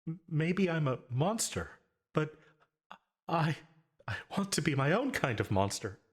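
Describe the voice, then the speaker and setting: A male actor performs a lonely demon who longs to be real, speaking close and intimately in an ASMR roleplay. His husky, breathy voice moves slowly, with hesitant stammers and long halting gaps. He sounds anxious and possessive, a monster unsure of himself. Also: a little high, sing-song, full character voice. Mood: shy, hopeful.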